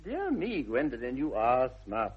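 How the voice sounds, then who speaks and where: An actor playing an idle young English gentleman in a 1960s radio comedy, airy, arch and mock-earnest, with an upper-class accent. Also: mid-range voice, wildly swooping pitch, measured pace, husky, exaggerated voice. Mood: airy, playful. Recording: slightly dull vintage radio recording.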